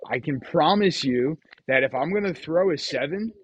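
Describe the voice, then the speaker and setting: A man's voice: a little high, animated, normal speed, husky, muffled recording. A man chatting casually and telling gambling stories as the host of the show. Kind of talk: casual chat, storytelling about craps.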